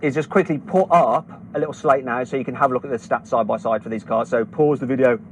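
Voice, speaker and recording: A man with an English Midlands accent hosting a car vlog outdoors on a runway, excitable and laughing often. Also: medium pitch, fast talker, slight background noise. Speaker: car reviewer and host.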